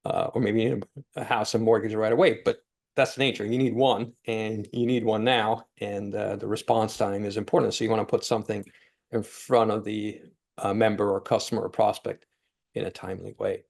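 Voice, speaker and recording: A man hosting a webinar who asks questions in a halting way, with many ums and uhs. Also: lowish voice, little pitch movement, vocal fry, a little mellow. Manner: halting, filler-heavy questioning. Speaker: webinar host.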